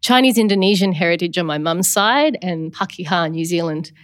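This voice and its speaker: A woman's voice: fairly low pitch, lively intonation, fairly quick. Woman, podcast host, reflective, storytelling, conversational. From Australia. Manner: reflective, storytelling with small restarts.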